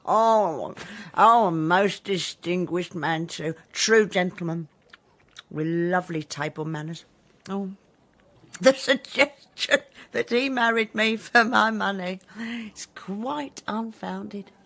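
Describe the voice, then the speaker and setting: An actress playing a pretentious, self-pitying middle-aged widow in a period radio comedy, with a theatrical, lingering manner. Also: female voice, medium pitch, takes it slowly, fairly bright tone. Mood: fondly affronted.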